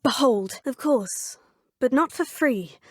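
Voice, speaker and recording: A woman's voice: high voice, animated, slow pace, no echo, clean studio sound, full character voice. A polite young witch student. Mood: polite, curious. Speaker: player character. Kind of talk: video game voice clips. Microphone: close studio mic.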